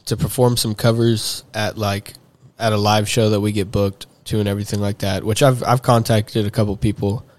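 A man's voice: on the low side, flat delivery, moderate pace, few pauses, a little mellow. A young man, chatting casually as a podcast guest, rambling with lots of fillers and restarts. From Texas. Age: young adult. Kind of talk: casual chat.

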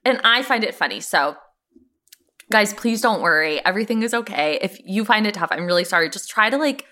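A woman's voice: medium pitch, expressive, fairly quick, sharp tone. A woman co-hosting a podcast in casual, emphatic banter, repeating words to drive her point home. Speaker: podcast co-host. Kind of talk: casual banter about a TV show.

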